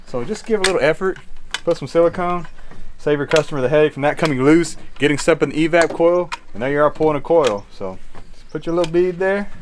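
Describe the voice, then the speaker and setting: A man's voice: on the high side, lively intonation, quick pace, a little hiss or hum. A man in his thirties, an HVAC and plumbing contractor, giving teasing, casual commentary as he works on a furnace. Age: 30s. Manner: joking, teasing play-by-play. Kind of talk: casual on-the-job vlog commentary.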